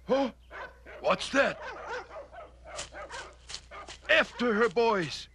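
A man's voice: very high voice, expressive, extremely slow, noisy background, exaggerated voice. A man voicing a determined old farmer out hunting in a cartoon. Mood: alert, determined. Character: old farmer, retired engineer, determined hunter. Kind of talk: cartoon voice acting.

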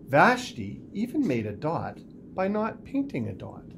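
A man reads a picture book to children. His delivery is slow and expressive, with a widely varying pitch. There is some faint room noise in the small office. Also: creaky. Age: 50s. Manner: deliberate, expressive storytelling. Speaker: school superintendent reading aloud.

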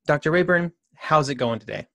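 A man's voice: a little high, animated, a little fast, creaky, fairly bright tone, no room sound. Man hosting a history podcast. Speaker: podcast host.

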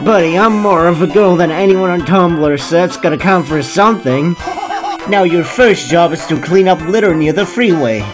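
A woman's voice: fairly low pitch, moderate pace, over music, natural voice. A woman voicing a brazen, flippant judge posing as a community service boss in a cartoon comic dub. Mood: breezy, evasive.

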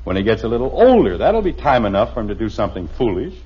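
A man's voice: fairly low pitch, big pitch swings, fast. An American actor playing a wry, exasperated middle-aged father in a 1950s radio sitcom, with dry, teasing sarcasm. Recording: muffled, noisy old radio broadcast recording.

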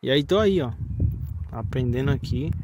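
A man's voice: mid-range voice, lively intonation, normal speed. A man in his late twenties with a São Paulo Brazilian accent, chatting casually and reassuringly as he explains things in a rambling way. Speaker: vlogger, learner rider. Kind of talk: casual instructional chat. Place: outdoors on a rural dirt road. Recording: outdoor phone recording, loud motorcycle engine noise.

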